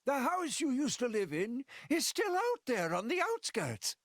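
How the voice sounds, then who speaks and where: A man playing an excitable, nostalgic elderly villager in a video game cutscene, effusive and folksy. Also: extremely high pitch, no room sound, studio quality, full character voice. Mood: fond reminiscence. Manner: effusive, folksy, drawn-out exclamations.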